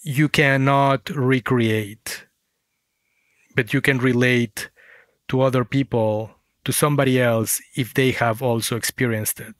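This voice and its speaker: A man's voice: mid-range voice, steady tone, unhurried, no echo. Man reflecting in a dry, close interview. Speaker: guest, fiction writer.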